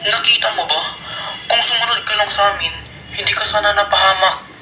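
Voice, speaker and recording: A man's voice: high voice, fairly quick, faint music behind. A man playing a stern but caring father in a puppet show, on a noisy recording. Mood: lecturing. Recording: loud noise over the voice.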